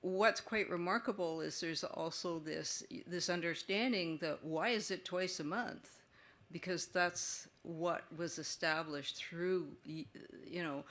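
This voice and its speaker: Man, somewhat high voice, bright, emphatic panelist. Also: steady tone, a little slow. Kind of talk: panel discussion at a conference.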